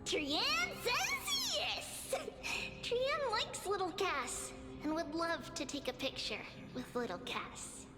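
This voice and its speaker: A woman voicing a small, cheerful, excitable girl child in a video game, with childlike exclamations. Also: very high voice, slow, exaggerated voice. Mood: playful, eager. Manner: childlike, exclaiming, third-person self-reference. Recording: music behind the voice. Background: game music.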